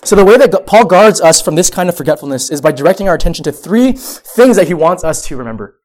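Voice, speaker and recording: High-voiced young man preaching. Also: sing-song, fast, a little mellow. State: sniffling with a cold. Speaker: youth pastor. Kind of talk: sermon to a high school group.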